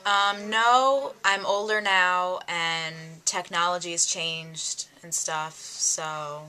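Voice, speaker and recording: A nineteen-year-old woman, a college freshman, answering interview questions slowly with many pauses. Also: fairly low pitch, fairly even intonation, sharp tone, rough recording. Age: teens. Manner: slow, halting, many ums.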